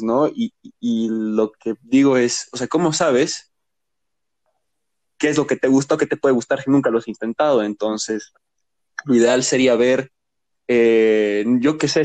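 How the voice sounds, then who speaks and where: A man's voice: mid-range voice, darkish tone, no room sound. A young man with a Bolivian Spanish accent, rambling through a casual podcast chat with many restarts. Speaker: podcast guest.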